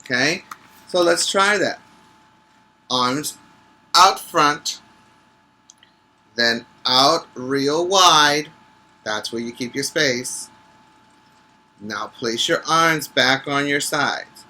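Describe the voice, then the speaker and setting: A man reads a children's story aloud as a preschool teacher would. His delivery is very slow and deliberate, with a bright, forward tone and a slightly raised pitch. He steps out of the text to explain things simply and check in with the children. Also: poor audio. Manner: instructive, checks in with short questions to young listeners. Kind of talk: read-aloud of a children's picture book with explanatory asides.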